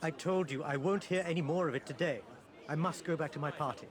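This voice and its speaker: An actor playing a proud, pleasure-loving exiled prince with a courtly, formal manner. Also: male voice, a little high, fast, crowd noise, natural voice. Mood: dismissive.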